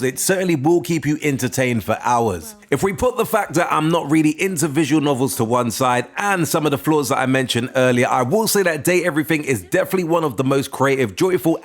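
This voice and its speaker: A man narrating a scripted video game review as the channel's host, in an informal, conversational way. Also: fairly high pitch. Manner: informal, conversational opinion-giving.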